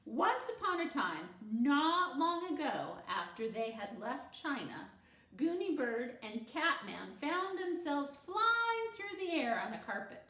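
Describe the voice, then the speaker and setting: A woman reading a children's book aloud as a storyteller, in an animated, expressive manner. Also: high-pitched, slow pace, roomy sound, distant mic. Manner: animated, acts out character dialogue.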